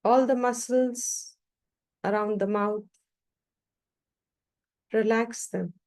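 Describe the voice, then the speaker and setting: Slow-paced woman guiding a meditation. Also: fairly high pitch. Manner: calm, measured, gently instructing. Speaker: meditation teacher. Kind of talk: guided meditation, short instructions with long pauses.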